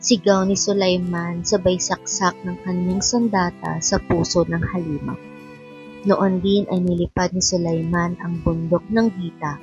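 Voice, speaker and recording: A woman's voice: fairly low pitch, steady tone, unhurried, darkish tone. A woman narrating an epic poem in scripted, dramatic radio style on a lo-fi recording. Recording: dull lo-fi recording. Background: faint music.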